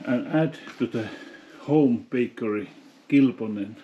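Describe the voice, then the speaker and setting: A man's voice: medium pitch, unhurried, lo-fi sound. A man giving casual exploration vlog commentary, muttering short, off-hand remarks to himself. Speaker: urban explorer and vlogger. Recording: clearly noisy handheld camera audio.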